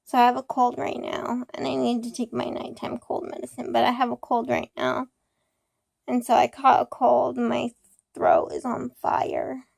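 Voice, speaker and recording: A young woman with a cold, hoarse, rambling about her day in a casual personal vlog with many ums and restarts. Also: a little high, monotone, moderate pace, a little mellow, no echo. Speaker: vlogger.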